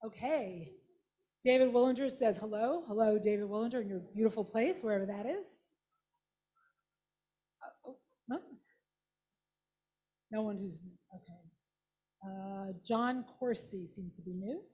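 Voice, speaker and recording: A woman's voice: medium pitch, a little slow, long pauses, mellow. A woman from Brooklyn leading a service, welcoming newcomers casually and greeting them by name. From Brooklyn, New York. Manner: chatty, informal, greets people by name. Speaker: service leader. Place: prayer hall with attendees and online viewers.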